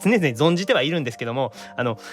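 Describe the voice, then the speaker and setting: A man with a Kansai accent hosting a casual, lively radio chat in a playful, teasing manner. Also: medium pitch, very fast, soft background music. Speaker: podcast host, voice actor.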